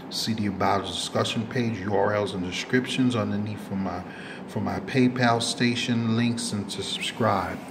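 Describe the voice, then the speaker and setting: A man with a fairly low voice talks through community news for his subscribers, recorded with clear background noise. He speaks off the cuff and rambles, circling back to correct and approximate what he has just said. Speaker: host of a community news channel. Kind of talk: unscripted news commentary to subscribers. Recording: clear background noise, ambient room sound.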